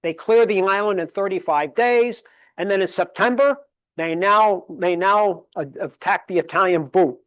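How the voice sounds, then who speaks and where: A man's voice: high voice, moderate pace, fairly bright tone, clean tone, no echo. A man giving a history lecture at a public library, emphatic and fond of rhetorical questions, rattling off dates and figures. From Connecticut, USA. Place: library meeting room.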